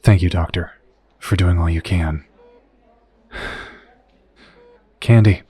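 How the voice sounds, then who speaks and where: Male, serious team leader; lowish, slightly rough husky voice, subdued. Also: fairly even intonation, takes it slowly, warm tone, full character voice. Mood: subdued worry. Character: troubled, dutiful male superhero team leader. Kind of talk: audio drama acting.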